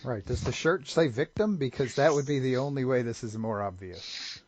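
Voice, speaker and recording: A man's voice: mid-range voice, normal speed, darkish tone. A man co-hosting a podcast, talking casually about a movie and often stumbling over repeated words as he starts a sentence. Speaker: podcast co-host. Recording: dull lo-fi podcast audio.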